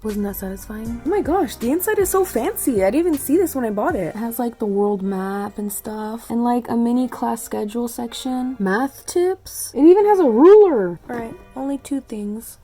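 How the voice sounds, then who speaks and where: A woman's voice: fairly high pitch, animated, moderate pace, hardly any pauses, mellow, faint music behind. A young woman chatting casually in a home vlog, rambling with fillers while her phone camera sits on the couch. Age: young adult. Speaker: student vlogger. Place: home room under a loft bed.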